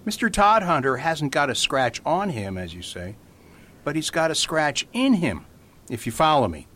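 Man playing an animated, lightish-voiced priest detective. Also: moderate pace. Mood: matter-of-fact. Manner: animated, patiently explanatory. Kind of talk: radio mystery acting. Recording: faint rumbling background noise.

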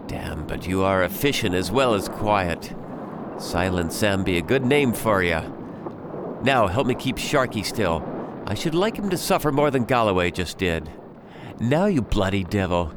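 A man acts a grieving husband turned pirate, bent on cold revenge. His mid-pitched voice rises and falls widely in theatrical, menacing speeches, with clear ambient noise around him in this radio play. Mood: cold, commanding. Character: bereaved husband turned pirate, coldly vengeful, addressing his captive. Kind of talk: radio drama acting.

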